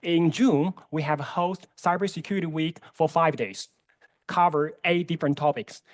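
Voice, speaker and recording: Man, Chinese first language, slightly high voice, formal but halting presentation. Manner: non-native phrasing, filler "uh" and "you know". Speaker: centre director. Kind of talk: formal introduction.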